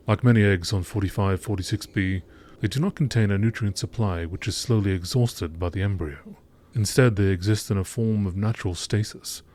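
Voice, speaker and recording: A man narrating scripted science-fiction lore entries in a measured, formal documentary style. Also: low voice, darkish tone.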